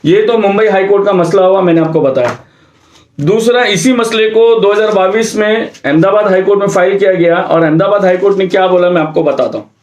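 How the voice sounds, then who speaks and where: A man's voice: high-pitched, brisk, darkish tone. A man giving an explanatory public address, speaking emphatically and step by step. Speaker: religious committee secretary. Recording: echoey room.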